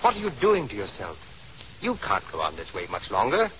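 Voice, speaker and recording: Wry, worried admirer for period radio play: lively pitch swings, urgent conversational delivery, dull hissy recording. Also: male voice, fairly quick. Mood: concerned. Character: worried, smitten male companion, wry and sentimental. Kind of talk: 1930s live radio drama acting. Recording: noisy, muffled 1930s radio recording.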